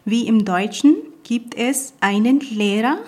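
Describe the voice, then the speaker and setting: A woman's voice: on the high side, a little mellow. Woman presenting her language. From Punjab, Pakistan. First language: Punjabi. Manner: careful, measured delivery with small grammar slips. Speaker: guest presenter. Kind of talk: reading a prepared talk on her language.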